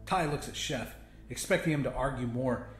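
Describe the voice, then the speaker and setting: A man's voice: medium pitch, normal speed, roomy sound, soft background music. A man reading a first-person story as a dramatic audiobook narrator.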